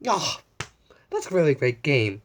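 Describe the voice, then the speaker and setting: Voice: mid-range voice, big pitch swings, measured pace, a little hiss or hum. A storyteller voicing a friendly, playful dragon in a children's storybook reading. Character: friendly toothy dragon who roars hello.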